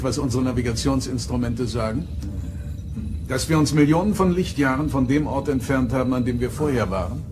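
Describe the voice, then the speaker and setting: An actor playing a commanding starship captain in an audio drama, formal, insistent and probing. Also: male voice, mid-range voice, noisy background, natural voice. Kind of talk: German audio drama acting.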